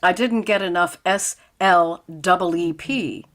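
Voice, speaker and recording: A woman's voice: fairly low pitch, slow, a bit bright. A woman playing a wry, sardonic schoolteacher in a 1940s-style radio comedy, with dry, pedantic wisecracks. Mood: groggy, wry.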